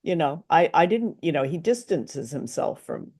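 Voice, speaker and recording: A woman's voice: sits low, lively intonation, normal speed, darkish tone, husky. A woman hosting a casual chat about an old radio drama, with a playful, wry manner. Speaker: podcast host.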